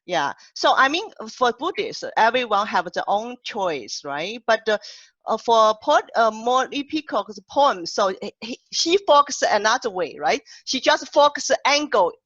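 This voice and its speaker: A woman translator co-hosting an online poetry panel, speaking with a heavy non-native accent and often pausing to search for words. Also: a little high, sing-song, a bit bright, no echo. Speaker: translator and co-host. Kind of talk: casual online panel discussion. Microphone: video call. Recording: dull, dry video call audio.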